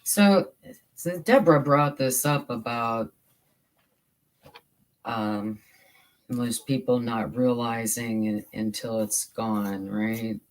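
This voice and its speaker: A livestream host with a soothing voice, chatting casually with viewers while crafting, halting and restarting often. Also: mid-range voice, rough recording. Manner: slow and halting, with many repeated starts.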